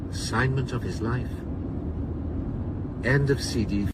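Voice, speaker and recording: A man playing an audiobook reader who narrates a grave crime novel in a solemn, deliberate, dramatic style. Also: mid-range voice, heavy background noise, natural voice. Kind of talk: scripted audiobook narration within radio drama. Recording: loud music, car audiobook playback. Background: loud music and car sounds.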